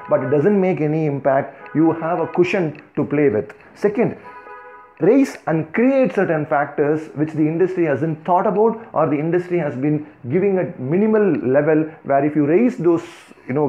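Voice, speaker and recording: Indian man lecturing over a tinny line. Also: on the high side. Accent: Indian (Tamil). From Tamil Nadu, India. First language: Tamil. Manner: thinking aloud with frequent "uh" and "you know", restarts and emphatic lists. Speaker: organization founder giving a talk. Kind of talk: free-flowing lecture over slides. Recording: tinny phone-like audio.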